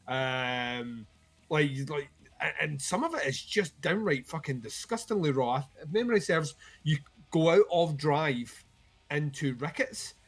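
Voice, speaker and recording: A man's voice: fairly high pitch, animated, a little slow. Rambling, swearing man chatting about metal. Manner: rambling, many fillers and restarts, swears freely. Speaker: co-host. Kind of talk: casual chat about metal albums.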